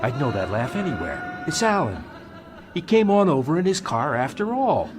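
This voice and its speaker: Actor as an anxious, earnest man, lively delivery. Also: mid-range voice, moderate pace, lightly acted. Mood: relieved, excited. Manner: agitated, earnest. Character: anxious, loyal man worried for his troubled friend. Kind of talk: radio drama acting. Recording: noisy radio drama recording.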